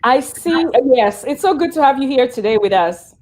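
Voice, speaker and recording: A woman with a slightly high voice hosts a casual interview over a video call. She sounds upbeat and laughs often between her questions. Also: animated, moderate pace, darkish tone. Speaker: host.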